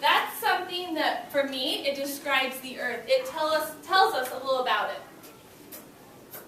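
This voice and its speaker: A woman's voice: sits high, unhurried, sharp tone. A woman teaching young children in an interactive read-aloud lesson, addressing them directly with short prompts and questions. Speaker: elementary school teacher. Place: classroom. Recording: roomy, distant mic with background noise.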